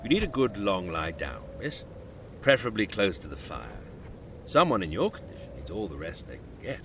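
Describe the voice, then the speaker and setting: An actor playing a pompous, mock-genteel British explorer turned sinister cannibal in an audio drama, with old-fashioned phrasing. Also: male voice, low-pitched, sing-song, normal speed, noisy background. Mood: falsely courteous. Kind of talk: theatrical audio drama acting.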